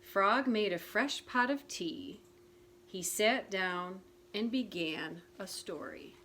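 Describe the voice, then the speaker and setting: A woman teacher reading a picture book aloud to young children, gentle and clear. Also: mid-range voice, slight background noise. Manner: gentle, slow, clearly enunciated. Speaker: preschool teacher.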